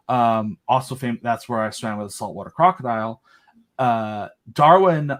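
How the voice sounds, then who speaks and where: A young man chatting on a home podcast mic, eager and rambling as he piles on details between fillers. His pitch sits in an ordinary middle range, and his talk is loose and unscripted. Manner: rambling, enthusiastic, filler-heavy. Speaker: podcast guest. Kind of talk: casual chat.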